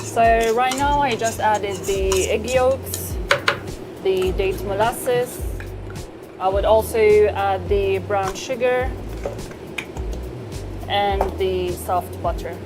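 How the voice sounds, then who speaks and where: A woman chef from eastern Saudi Arabia, talking through a cooking demonstration in a kitchen with measured, gently encouraging explanations. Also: medium pitch. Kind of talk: cooking demonstration with calm step-by-step instructions. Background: background music.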